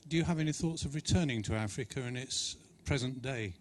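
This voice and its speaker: A man in the audience at a live talk, asking the author a measured, courteous question. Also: medium pitch, slight background noise. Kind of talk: question from the floor.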